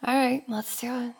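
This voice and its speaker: A woman playing a teasing, dominant young roommate in an intimate audio roleplay, speaking slowly and often in a whisper. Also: on the high side, a little mellow, full character voice. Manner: slow, husky, often whispering.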